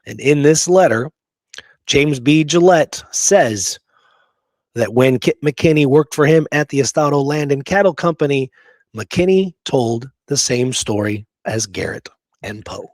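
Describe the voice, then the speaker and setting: A man's voice: a little high, unhurried, a little mellow, no echo. A man hosting a casual history talk from notes, speaking in an emphatic, conversational way and often checking in with the listener. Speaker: podcast host.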